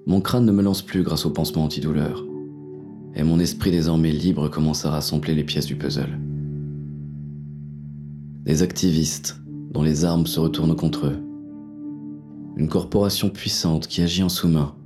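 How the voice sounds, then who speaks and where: An actor playing a hard-boiled, wary police inspector who narrates a noir audio drama in a gritty, weary manner. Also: male voice, extremely low pitch, fairly even intonation, normal speed, pauses often, over music. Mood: brooding.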